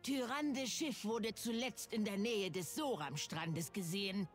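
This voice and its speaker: An actress playing a stern, vigilant elven warden in a fantasy game, curt, formal and commanding. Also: female voice, medium pitch, unhurried, soft background music, natural voice. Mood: stern, watchful. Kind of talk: video game voice acting.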